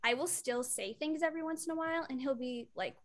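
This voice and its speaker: High-voiced young woman from Iowa. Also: fast. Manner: animated storyteller, acts out quotes. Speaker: podcast co-host. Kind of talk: casual chat.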